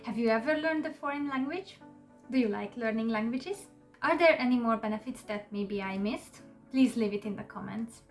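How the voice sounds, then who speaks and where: Hungarian-native woman, slightly high pitch, easy chatty vlog delivery, faint music bed. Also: moderate pace. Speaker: channel host. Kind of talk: casual talk to camera.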